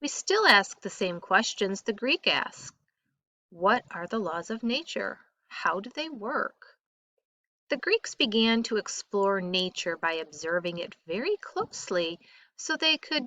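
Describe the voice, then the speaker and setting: A woman reads a textbook aloud as a teacher would for a class. Her voice is bright and forceful, at a middle pitch, and she takes her time with deliberate emphasis. The sound is close and dry. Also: very animated, no echo. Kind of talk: read-aloud narration of a school textbook.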